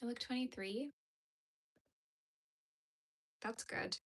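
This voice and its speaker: A woman's voice: on the high side, normal speed, a bit bright, husky. A woman in her thirties chatting casually while she knits, rambling and full of fillers as she answers viewers. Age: 30s. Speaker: knitting channel host. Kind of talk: casual chat while knitting.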